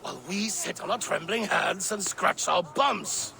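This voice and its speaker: Gravelly, hot-headed Norse thunder god. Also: male voice, high-pitched, a little slow, sharp tone, slight background noise, full character voice. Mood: scornful, impatient. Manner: blustering, combative. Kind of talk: dramatic video game acting.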